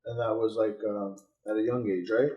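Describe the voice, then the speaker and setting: A man's voice: fairly low pitch, fairly even intonation, moderate pace, dark tone, lo-fi sound. A man hosting as a barber, asking rambling questions full of fillers while cutting hair in a barbershop. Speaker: host and barber. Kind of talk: casual interview chat.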